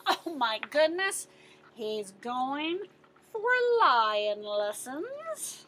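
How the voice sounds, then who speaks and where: A woman in her fifties reading a picture book aloud as a teacher, expressive and putting questions to her listeners. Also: high voice, very slow, a little hiss or hum. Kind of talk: animated children's picture-book read-aloud.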